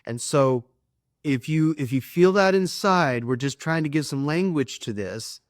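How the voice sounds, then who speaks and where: A man's voice: fairly high pitch, unhurried, no echo, studio quality. A man co-hosting a spiritual talk show, speaking earnestly in a preachy way. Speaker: co-host.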